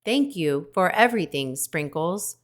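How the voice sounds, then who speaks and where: A woman voicing a gentle, polite female robot mother who speaks in a measured, precise way. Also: lowish voice, animated. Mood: calm.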